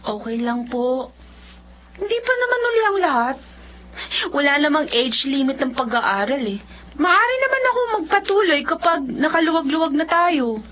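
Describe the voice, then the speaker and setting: A woman's voice: high-pitched, sing-song, normal speed, a bit bright, highs cut off. An actress playing a humble, dutiful young working daughter in a Filipino radio drama, speaking politely to her elders. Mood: reassuring, resigned. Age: young adult.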